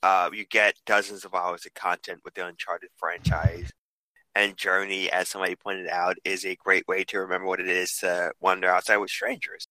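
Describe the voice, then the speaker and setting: A young man co-hosting a casual podcast chat, upbeat, with many restarts and quick asides. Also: deep voice, flat delivery, bright, forceful tone, dry recording, low-quality recording. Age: young adult. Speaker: podcast co-host.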